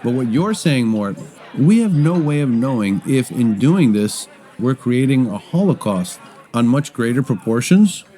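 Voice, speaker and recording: A man's voice: mid-range voice, big pitch swings, measured pace, warm tone. A man acting in an audio drama as a brash, argumentative friend who debates in a glib, provocative, conversational way. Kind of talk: naturalistic audio drama dialogue.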